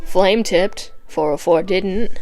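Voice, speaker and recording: A woman's voice: medium pitch, slow, a little mellow, rough recording. Woman as a male food truck cook, chatty, breezy, casual, storybook reading, music behind. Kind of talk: dramatic fan fiction reading. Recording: background music under the voice.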